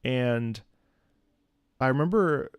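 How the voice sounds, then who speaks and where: A man giving casual, candid reaction commentary as a host, thinking aloud in a halting, reflective way. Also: medium pitch, lively intonation, clean tone, dry recording. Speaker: host, opera singer reacting to a game.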